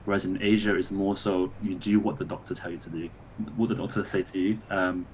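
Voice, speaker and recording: Low-voiced male doctor explaining calmly. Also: slight background noise, rough recording. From Malaysia. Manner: measured, explanatory. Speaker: doctor guest. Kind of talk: casual conversational interview.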